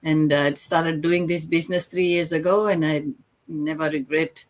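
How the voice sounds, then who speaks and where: Older lady from fiji, 60s, lowish voice, business mentor chatting on a panel, kinda halting. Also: fairly even intonation. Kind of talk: informal self-introduction in an online session.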